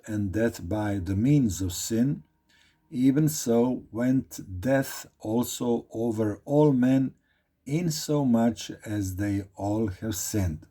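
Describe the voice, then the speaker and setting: A man with a Croatian accent talks slowly through a Bible study, dwelling on each phrase and repeating himself as he reads. His voice sits low and mellow, with a husky edge. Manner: slow, deliberate, repeats phrases while reading aloud. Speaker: Bible teacher. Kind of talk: informal Bible study talk.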